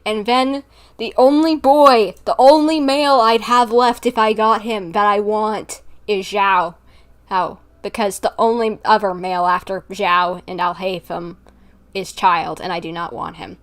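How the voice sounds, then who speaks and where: A woman livestreaming a game with casual, chatty commentary and an excitable manner. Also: mid-range voice, a little slow.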